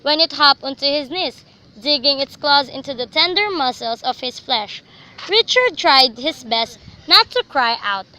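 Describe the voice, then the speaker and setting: High, bright young Filipina narrator. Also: female voice, animated, a little slow, low-quality recording. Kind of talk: scripted story narration for radio drama.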